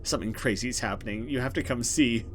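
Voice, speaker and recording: A man's voice: medium pitch, quick pace, a little hiss or hum, close to an everyday voice. A man voicing a frightened, urgent young woman in a horror visual novel, read out dramatically.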